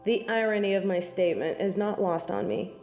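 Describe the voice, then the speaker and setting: A snobby female angel of judgement, acted by a woman, speaks with icy, archaic formality and open scorn. Her tone is soft-edged and mellow, and her words come slowly. The sound is roomy and echoing, with music underneath, as in an audio roleplay. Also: mid-range voice, steady tone, creaky, natural voice. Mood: cold superiority. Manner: haughty, formal, archaic phrasing, disdainful. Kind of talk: scripted audio roleplay acting.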